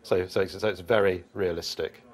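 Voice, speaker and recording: A man giving an unscripted technical explanation as a product designer, haltingly repeating and rephrasing his phrases. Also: sits low, steady tone.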